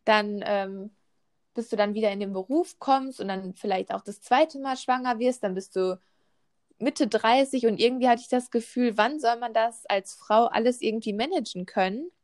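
Chatty young woman, fairly high voice. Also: normal speed, a little mellow. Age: 20s. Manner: chatty, many fillers and restarts. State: pregnant. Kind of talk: casual chat.

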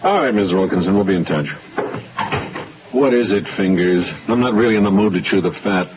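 An actor playing a brusque, sardonic police detective in a 1970s radio drama. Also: male voice, low voice, brisk, close to an everyday voice. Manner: brusque, dry. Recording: dull noisy 1970s radio broadcast.

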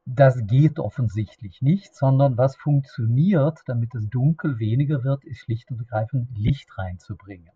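A middle-aged man with a Swedish accent, a native Swedish speaker, hosting a solo podcast in a halting, unscripted way, often restarting and searching for words. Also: medium pitch, dark tone, husky, no room sound, lo-fi sound. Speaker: podcast host.

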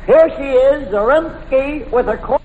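A man's voice: extremely high pitch, a little slow, a little mellow, highs cut off. A man hosting a 1940s radio comedy quiz, with showy introductions and dry wisecracking asides. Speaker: comic quiz show host. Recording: noisy old radio recording, echoey hall with audience.

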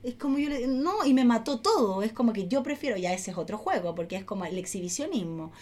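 Casting: Chilean woman podcast host, rapid talker, informal, tells stories with acted-out dialogue. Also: on the high side. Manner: animated storytelling with acted-out quotes. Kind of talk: casual chat.

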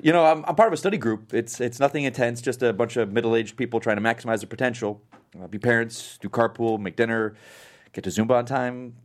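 A man's voice: mid-range voice, fast talker, lightly acted. A man playing an amiable, easygoing, slightly hesitant middle-aged student in a comedy table read. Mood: friendly.

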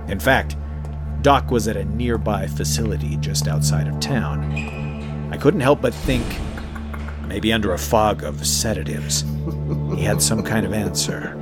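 A man acting as a troubled young DJ recounting a horror tale in an audio drama. His voice is low and reflective, telling it in the first person, with loud music underneath. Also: a little slow, close to an everyday voice. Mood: brooding, uneasy. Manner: brooding, reflective.

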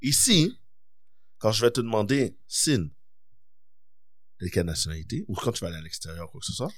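A man tells a story in a casual podcast chat, voicing each person in the dialogue. His pitch swings widely. The sound is close and dry. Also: brisk, no room sound. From Quebec. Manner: expressive storyteller, acts out dialogue.

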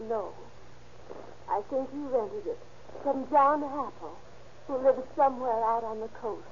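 A woman's voice: on the high side, unhurried, close to an everyday voice. An actress playing an anxious, apprehensive woman in an old radio crime drama, in a dramatic manner. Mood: shaken, subdued. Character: anxious woman uneasy for a male friend. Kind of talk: 1940s-50s radio crime drama acting. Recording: dull, hissy old-time radio recording.

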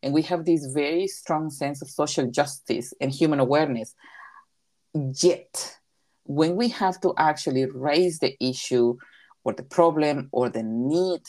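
A woman's voice: sits low, a little mellow. A Spanish-speaking woman from Mexico with a Mexican accent, answering interview questions as a recreation therapy leader in an emphatic way and repeating key phrases.

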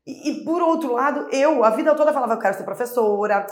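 A middle-aged woman, a mother being interviewed, talks warmly and animatedly, acting out quoted voices as she tells her story. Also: high-pitched, quick pace. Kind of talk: conversational interview. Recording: echoey room.

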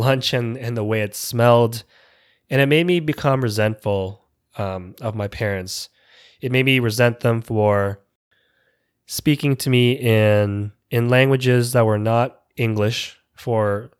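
A man's voice: mid-range voice, clean tone. Earnest man in his thirties. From Canada. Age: 30s. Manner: earnest and reflective, with small restarts. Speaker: educator giving a personal talk. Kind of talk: prepared personal speech.

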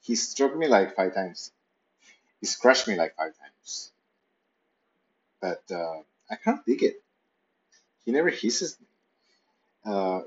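A man tells a playful, meandering pet story in the first person. His pitch swings lively up and down. The recording sounds dull and muffled. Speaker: pet owner narrating.

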